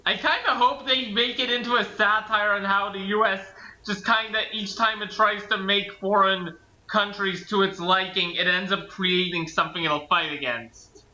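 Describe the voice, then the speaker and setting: A man's voice: high-pitched, fairly even intonation, moderate pace, pushed and bright, poor audio. A man co-hosting a commentary, chatting in a casual, rambling way.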